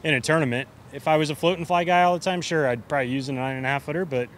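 A man's voice: a little high, racing. A man in his thirties, a professional angler, answering outdoors in a blunt, dismissive way. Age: 30s. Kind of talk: interview answers. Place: outdoors by boats. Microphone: handheld mic held by interviewer. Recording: faint outdoor noise.

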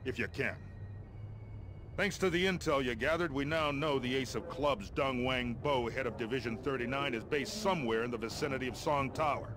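Man acting a gruff American army colonel. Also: medium pitch, noisy background, close to an everyday voice. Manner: gruff, blunt, wry, folksy. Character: gruff, impatient, wry male army colonel in command. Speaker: army colonel, commander giving mission briefings. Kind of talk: video game character dialogue.

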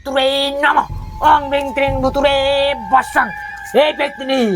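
An actor playing a stern, commanding village exorcist in a horror cartoon, chanting and repeating imperious orders. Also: male voice, very high voice, level tone, normal speed, vocal fry, music in the background. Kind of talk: Bengali horror cartoon voice acting.

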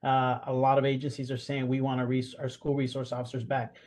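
A man's voice: medium pitch, monotone, brisk, a little mellow. Guy w a talking earnestly on a video call, lots of uhs and restarts. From Guatemala. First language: Spanish. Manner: earnest, many fillers and restarts. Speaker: panelist, school police association president. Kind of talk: online panel discussion. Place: home or office room, video call. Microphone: video call webcam.

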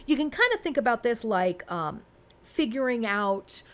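A woman's voice: a little high, sing-song, moderate pace, fairly bright tone. A woman in her forties giving an informal step-by-step lesson as an instructor, conversational and emphatic, often checking in with rhetorical questions. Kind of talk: informal screen-recorded lesson, explaining step by step.

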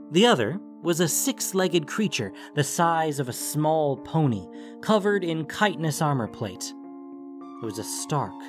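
A man narrates a scripted fantasy tale. His voice sits at an average male pitch, and quiet music runs underneath.

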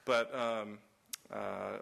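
A man's voice: lowish voice, fairly even intonation, very slow, creaky, a bit bright. Man chatting through a symposium talk. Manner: loose, anecdotal, many fillers and restarts; acts out quoted exchanges in raised voices. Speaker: nonprofit director giving a symposium talk. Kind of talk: conference talk with slides. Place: college symposium room.

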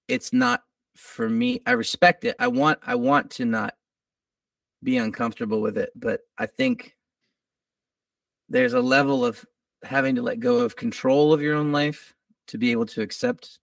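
A man's voice: medium pitch, unhurried, creaky, pauses often, dry recording, far from the mic. Middle-aged male guest, slightly off-mic and dry, laid-back and a touch groggy. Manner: laid-back, lively, swears casually. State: a little groggy. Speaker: musician guest. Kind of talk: casual interview.

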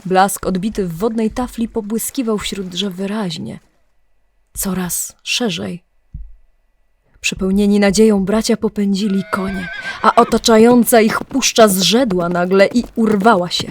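A woman narrating a scripted audio drama legend in a measured, literary storytelling manner, with deliberate pauses. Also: medium pitch, fairly even intonation, slight background noise. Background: music.

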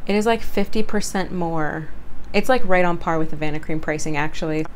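A woman's voice: deep voice, fairly even intonation, brisk, a little hiss or hum, poor audio. A woman beauty vlogger chatting casually through a product review, rambling and self-deprecating, her voice worn and giving out. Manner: rambling, self-deprecating, stumbles over words.

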